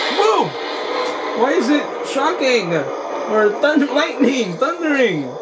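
A hoarse young man casually reviewing a VR headset at home, exclaiming through gameplay. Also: sits high, very noisy. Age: young adult. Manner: excited exclamations, chatty. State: hoarse from being sick. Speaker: tech reviewer and VR gamer. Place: small home room with shelves, indoors.

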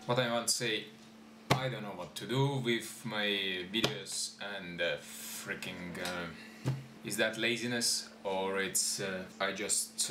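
A man's voice: lowish voice, reverberant, very noisy. A young man whose first language is Estonian, talking unscripted to camera at home, hesitant with many fillers and restarts. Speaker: YouTuber. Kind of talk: unscripted vlog talk to camera. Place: small room at home, indoors.

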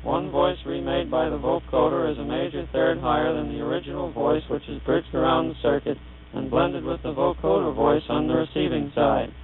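A man formally presenting a technical demonstration in a measured way, in a tinny old recording with hum. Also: on the high side, a loud crowd. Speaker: demonstrator, announcer.

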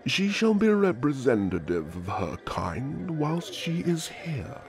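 A man playing an authoritative elf high king in a fantasy audio drama, formal, regal and diplomatic in manner. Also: big pitch swings, a little slow, creaky, faint music behind, full character voice. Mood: firm, composed.

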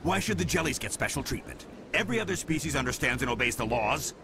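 Officious cop, animated pitch. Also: male voice, fast talker, gravelly, background noise. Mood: exasperated. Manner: stern, officious. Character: officious, exasperated male security officer. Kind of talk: video game acting.

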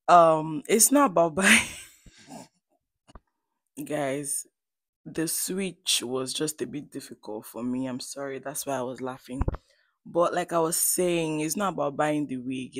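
Female beauty vlogger, Nigerian Igbo accent, low pitch, dry close sound; chatty, playful, emphatic tutorial delivery. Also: unhurried, no echo. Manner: playful, emphatic, addresses viewers directly. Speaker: hair stylist and beauty vlogger. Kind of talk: chatty tutorial voice-over to viewers.

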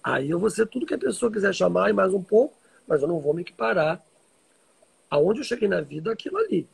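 Gravelly, high-pitched Brazilian man. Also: big pitch swings, fast. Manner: emphatic, repeats phrases for stress. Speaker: religious teacher hosting a live stream. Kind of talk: informal live-stream talk answering followers. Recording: livestream audio reposted from social media.

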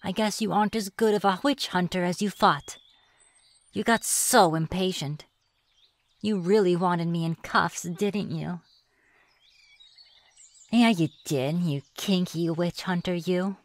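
A woman's voice: on the low side, no echo. A woman playing a reclusive, flirtatious witch in an ASMR fantasy roleplay, with a playful, mocking manner. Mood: gloating. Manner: playful, mocking, drawn-out taunting. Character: reclusive, teasing, flirtatious witch of the deep woods.

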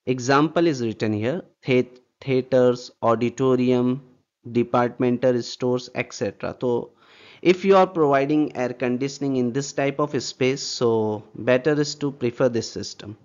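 A man's voice: medium pitch, moderate pace, darkish tone, clear, resonant tone. Guy explaining hvac slides, keeps saying okay, kinda dull lo-fi sound. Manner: repetitive, checks in with "okay" and rhetorical "what?". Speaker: HVAC instructor. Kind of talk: slide-based technical lecture. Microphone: voice-over over slides.

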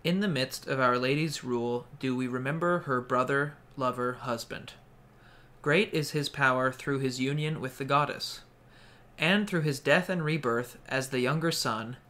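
A man's voice: medium pitch, pushed and bright. Male reader, middle register, for scripted ceremonial recitation. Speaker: co-reader of ritual parts. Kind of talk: scripted recitation of ritual lines.

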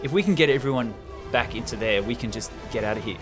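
A man's voice: mid-range voice, quick pace. A young man hosting a podcast, casually recapping a story in conversation, close on a desk mic. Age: young adult. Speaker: podcast host. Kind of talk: casual chat, recapping a film script. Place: small room indoors. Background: faint music.

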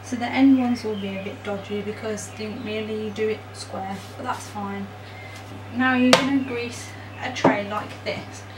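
A woman's voice: mid-range voice, steady tone, a little slow. A young adult woman giving a casual, chatty baking demonstration in an informal, self-deprecating way, recorded indoors on a camera mic. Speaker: home baking vlogger. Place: small home kitchen, indoors. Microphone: camera mic a metre or two away. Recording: roomy, distant mic, noisy background.

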